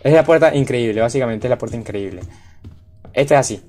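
A young man from Venezuela streaming live gameplay commentary, excitable and quick to repeat himself and yell when startled. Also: medium pitch, soft background music. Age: young adult. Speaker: gaming streamer. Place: home room, indoors.

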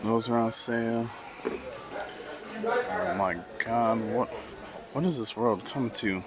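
Slow, musing man in a sneaker store. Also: medium pitch, lively intonation, over music. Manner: slow, musing, lots of fillers. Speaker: sneaker vlogger. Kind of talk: casual walk-through commentary. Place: sneaker stores in a shopping mall.

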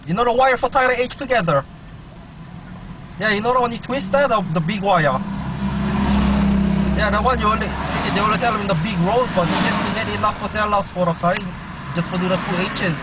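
Pidgin-talking guy on the phone in his car, repeats himself a lot, tinny camcorder sound, lots of road noise. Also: high voice. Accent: Hawaiian Pidgin. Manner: halting, repeats words while explaining. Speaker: customer ordering fencing supplies. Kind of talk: phone call.

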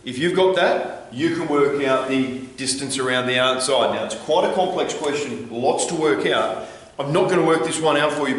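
A man with a slightly high voice teaches maths at a whiteboard. He talks informally and checks in as he goes. The room is very reverberant and the microphone sits some distance away. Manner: informal, checking in with "right?" and "okay?". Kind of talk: explaining a worked problem at a whiteboard. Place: indoor classroom with whiteboard. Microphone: camera a few metres away. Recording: very echoey room, distant mic.

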